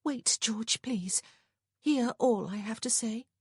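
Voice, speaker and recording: Woman playing a frank young gentlewoman, high and husky. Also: normal speed, no room sound, studio quality. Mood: pleading. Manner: flat, earnest, confessional. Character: frank, stubborn, smitten young gentlewoman of period romance.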